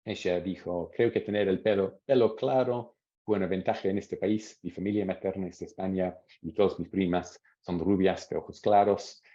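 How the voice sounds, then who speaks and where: A man presenting research at an academic seminar, reading out quotes from interviews in a measured way. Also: low voice, steady tone, mellow. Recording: dull lo-fi audio.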